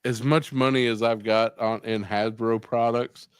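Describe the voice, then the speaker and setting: A man's voice: medium pitch, steady tone, measured pace, clean tone, no room sound. A man hosting a casual late-night chat show, laid-back, swearing freely and laughing often. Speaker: host.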